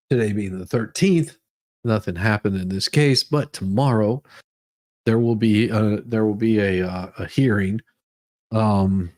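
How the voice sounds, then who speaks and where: A man hosting a livestream, reading documents aloud and adding informal, rambling commentary in which he often repeats words. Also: on the low side. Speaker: livestream host. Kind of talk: dramatic reading of legal documents with casual commentary.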